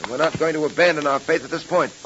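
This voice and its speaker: A man's voice: fairly high pitch, racing, bright, forceful tone. An American man playing a resolute, principled police detective in a 1930s radio serial, with earnest, formal phrasing. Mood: determined. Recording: muffled, hissing old radio recording.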